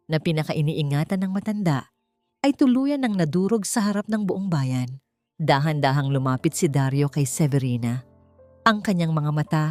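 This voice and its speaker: Female narrator for dramatic story podcast, slightly low, measured and solemn, close dry recording. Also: darkish tone, dry recording. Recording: dry voice over background music. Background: music.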